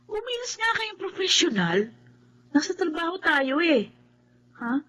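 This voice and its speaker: A woman's voice: extremely high pitch, big pitch swings, unhurried, pushed and bright, heavily acted. Hana, a woman playing a scolding coworker. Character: exasperated female coworker who plays peacemaker.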